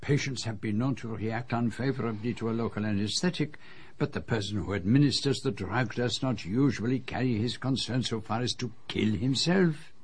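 An actor playing a courteous, shrewd detective with a Belgian French accent, formal and precise, in a radio drama. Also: male voice, medium pitch, normal speed, breathy edge, natural voice. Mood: politely sceptical. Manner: formal, precise, politely sceptical. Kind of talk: radio drama acting with first-person narration.

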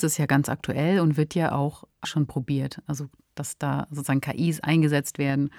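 A woman's voice: deep voice, darkish tone, no room sound. A woman co-hosting a podcast, speaking in an articulate, explanatory way on a close microphone. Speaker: podcast host. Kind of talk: credits and conversational discussion.

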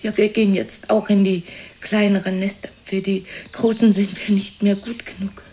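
An older woman playing an aging, resigned stage performer and mother in a German radio drama, speaking haltingly in short phrases. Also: mid-range voice, level tone, warm tone, natural voice. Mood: resigned, wistful. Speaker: actress in a radio play. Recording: radio play with faint background noise.

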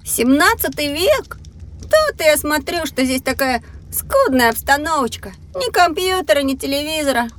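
A high-voiced, eager young boy. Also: big pitch swings, normal speed, fairly bright tone, a little hiss or hum. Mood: puzzled. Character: curious, cocky, excitable young boy. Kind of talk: acted children's educational audio drama.

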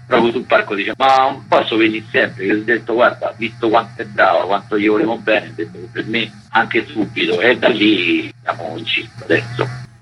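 Deep-voiced Roman man chatting. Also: moderate pace, a bit bright, soft background music, rough recording. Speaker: musician interviewed as band member. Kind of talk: casual interview answers.

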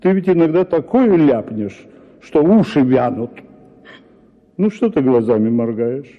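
A smug, gravelly lecturing husband. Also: male voice, mid-range voice, sing-song, a little slow. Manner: condescending, patronizing. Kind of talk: radio drama acting. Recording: narrow phone-like radio audio with music.

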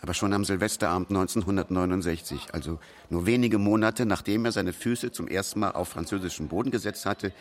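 An admiring man of the music world played by an actor reminisces in a radio play. His voice is fairly low, and he tells things fondly and expressively. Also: fairly quick, few pauses, close to an everyday voice. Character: admiring male songwriter or associate reminiscing fondly. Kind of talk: radio drama monologue.

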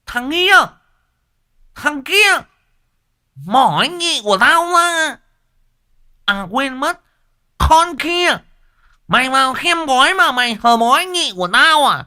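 A man's voice: extremely high pitch, very animated, slow pace, long pauses, pushed and bright, voice pushed up, full character voice. Man doing a shrieking jealous village woman. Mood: furious. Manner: screaming threats. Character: jealous hot-tempered village woman swinging a hammer.

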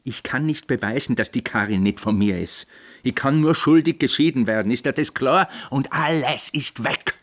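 A man's voice: mid-range voice, moderate pace, a bit bright, natural voice. An actor playing a stubborn, evasive middle-aged married father with an Austrian accent in a radio drama. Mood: stubborn, earnest.

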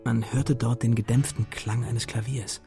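Creaky-voiced man as a young storytelling hero. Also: medium pitch, flat delivery, normal speed, natural voice. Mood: reflective. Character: adventurous schoolboy hero recounting his strange night. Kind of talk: literary first-person narration in radio drama. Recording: studio radio drama with music behind. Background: music.

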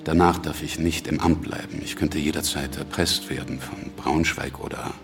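A ruthless revolutionary leader in a radio play. His voice sits extremely low and crackles with gravel. He schemes deliberately and with total self-assurance, like a conspirator. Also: steady tone, over music. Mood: grave, resolute. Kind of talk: radio drama acting.

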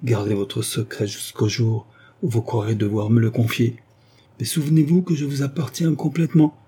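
A man's voice: mid-range voice, steady tone, moderate pace, mellow, slightly rough, lightly acted. A man reading aloud, voicing a devoted young male lawyer who speaks in an earnest, pleading way.

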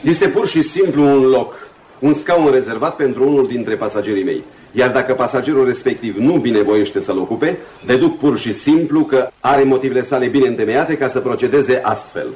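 An actor playing an authoritative, courteous but firm ship's captain in a radio drama, with formal, measured delivery. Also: male voice, medium pitch, darkish tone, natural voice. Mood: genial, formal. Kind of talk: Romanian radio theatre acting.

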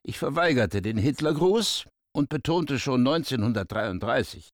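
An actor playing a proud, self-assured surgeon in a radio play, speaking curtly. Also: male voice, mid-range voice, lively intonation, normal speed, no room sound, clean studio sound, lightly acted.

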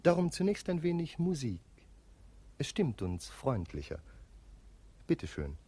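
A man's voice: fairly high pitch, huge pitch swings, measured pace, warm tone, breathy edge. A male narrator of a 1950s radio play, talking to the listeners in a wry, conversational way.

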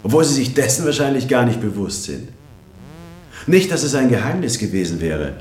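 An actor playing a secretive, wry corporate insider who addresses listeners directly in a radio drama monologue, recorded in a roomy space. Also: male voice, medium pitch, sing-song, a little fast, a little mellow, lightly acted. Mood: wry, confiding. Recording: roomy echo with faint background ambience.

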